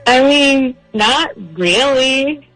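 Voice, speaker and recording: A woman's voice: high-pitched, extremely slow, a bit bright, soft background music. A young adult woman talking casually as a guest on a radio phone call. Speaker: phone caller.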